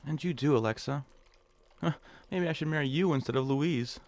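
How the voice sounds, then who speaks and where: A man's voice: a little high, normal speed, darkish tone, natural voice. A man in a comic audio drama playing a whiny, meek, hypochondriac office worker who speaks hesitantly. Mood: contented, chatty.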